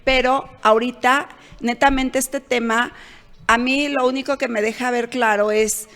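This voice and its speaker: A woman's voice: fairly high pitch, steady tone, measured pace, fairly bright tone. Opinionated woman co-host, echoing room. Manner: opinionated, with fillers and self-corrections. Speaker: show co-host. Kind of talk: political commentary chat. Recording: long reverb.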